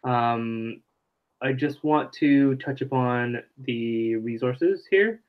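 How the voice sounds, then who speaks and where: A man's voice: mid-range voice, very slow, highs cut off. A man presenting a marketing webinar over a video call, explaining loosely with lots of fillers. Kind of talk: casual live webinar teaching.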